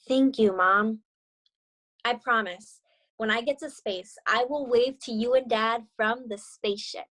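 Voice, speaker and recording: A woman's voice: a little high, steady tone, unhurried, fairly bright tone. A woman voicing an earnest little girl who dreams of space, in a children's storybook. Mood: earnest, hopeful.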